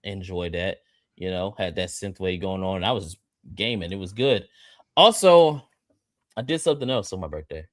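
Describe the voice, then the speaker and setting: A man's voice: low-pitched, lively intonation, normal speed, dry recording, studio quality. An American man of thirty co-hosting a livestream with casual, joking chat, boisterous and slangy, repeating phrases for emphasis. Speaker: livestream co-host.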